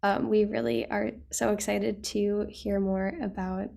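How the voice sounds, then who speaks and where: Female host for an online panel: low-key and even in pitch, gravelly, formal and courteous. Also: unhurried. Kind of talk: introducing guest speakers at an online session. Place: online video call.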